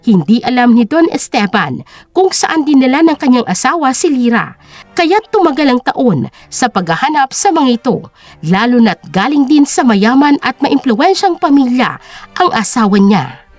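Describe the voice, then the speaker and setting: A female narrator with a high-pitched voice and very animated intonation. She tells a scripted dramatic story as an audio drama storyteller, with faint music playing behind her. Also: normal speed, dry recording.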